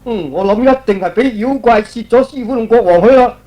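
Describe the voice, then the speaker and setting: A man playing a brash, quick-witted male monkey hero in theatrical, emphatic Cantonese radio drama style. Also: extremely high pitch, mellow, pitched above the performer's own voice. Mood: worried.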